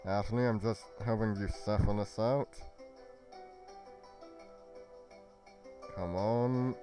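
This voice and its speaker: A man's voice: fairly low pitch, steady tone, normal speed, warm tone, faint music behind, natural voice. A man playing a solemn, determined warrior hero in a Minecraft roleplay, speaking in grave, formal heroic phrases. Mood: grave, resolute. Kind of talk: amateur Minecraft roleplay acting.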